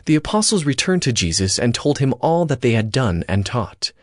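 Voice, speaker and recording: A man's voice: medium pitch, big pitch swings, moderate pace, no echo, studio quality. A man narrating scripture from a script as part of a dramatized reading. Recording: music bed behind voice.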